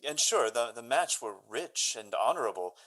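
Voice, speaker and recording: A man playing an earnest, courteous young gentleman lover in a Shakespearean radio drama, speaking florid verse. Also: medium pitch, lively intonation, normal speed, natural voice, low-quality recording. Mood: nervous, deferential. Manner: hesitant openings, then florid Shakespearean verse.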